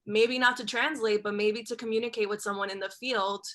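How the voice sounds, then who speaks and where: A woman's voice: on the high side, fairly even intonation, fairly quick, a bit bright. A woman from Cuba, a TV journalist speaking candidly on a panel discussion over a video call. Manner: candid, conversational, repeats words.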